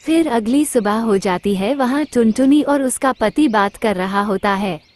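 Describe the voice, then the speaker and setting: A woman's voice: a little high, unhurried, a little mellow, slight background noise. A woman reading scripted narration for a children's cartoon story.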